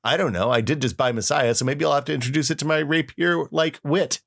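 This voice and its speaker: A man's voice: fairly high pitch, fairly quick, gravelly, fairly bright tone. A middle-aged American man co-hosting a podcast, chatting casually and reading old posts aloud in a wry, self-mocking way. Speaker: podcast co-host.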